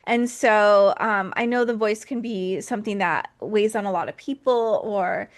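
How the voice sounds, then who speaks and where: A woman's voice: mid-range voice, unhurried. A woman hosting a podcast interview, encouraging and upbeat as she asks questions. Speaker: podcast host. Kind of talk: casual interview talk.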